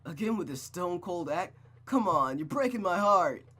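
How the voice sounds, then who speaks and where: Man putting on a loud cocky surfer-boy suitor. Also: sits high, animated, normal speed, husky, voice pushed up, full character voice. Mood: playfully wooing. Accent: surfer American.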